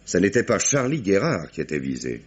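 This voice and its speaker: A measured police commissioner. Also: male voice, low voice, very animated, crowd noise. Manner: measured, questioning, authoritative. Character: calm, probing police commissioner. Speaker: police inspector. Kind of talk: 1950s radio drama acting. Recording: dull old radio broadcast with faint hiss.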